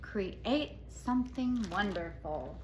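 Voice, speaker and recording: A woman voicing a firm but encouraging female schoolteacher in a storybook, giving deliberate instructions. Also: mid-range voice, lively intonation, a little hiss or hum, poor audio.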